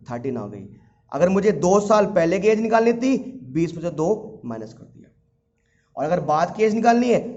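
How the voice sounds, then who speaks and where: A man teaching maths informally, repeating himself as he explains step by step and posing questions that he answers himself. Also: sits high. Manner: repetitive, asks questions and answers them himself; addresses students fondly as children. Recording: dull lo-fi audio.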